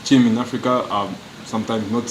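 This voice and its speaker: A man from France answering press questions in halting, searching English, restarting his sentences often. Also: medium pitch, steady tone, background noise. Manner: halting non-native English, frequent restarts. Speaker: professional footballer. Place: media room.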